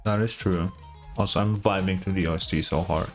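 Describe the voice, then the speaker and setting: Deep-ish guy doing casual game reactions, chill muttered asides, bit of game music under him. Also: steady tone, fairly quick. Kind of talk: casual live gameplay reaction.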